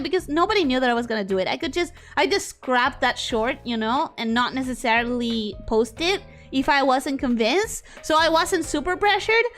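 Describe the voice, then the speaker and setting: A young woman streamer chatting casually with viewers, voice swinging from high squeals down to low mutters. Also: a bit bright. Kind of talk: casual chat reading viewer messages.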